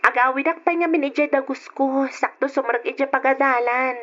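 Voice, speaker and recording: A cheerful young woman student, as an actress plays her, high-voiced and quick to laugh. The recording is dull and lo-fi, like an old radio drama. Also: fairly bright tone. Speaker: student character.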